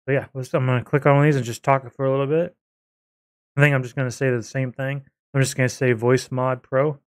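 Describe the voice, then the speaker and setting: Man, casual software walkthrough, informal, hesitant and rambling, middle pitch, clear voice, close mic. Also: little pitch movement, fast, dry recording. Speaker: video creator reviewing software. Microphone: close desktop microphone.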